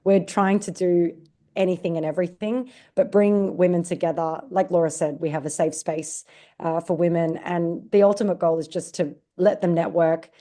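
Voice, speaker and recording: A woman with an Australian accent, a podcast guest talking in a relaxed, conversational way with frequent uh fillers. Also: on the low side, normal speed. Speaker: guest, marketing director. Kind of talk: podcast interview.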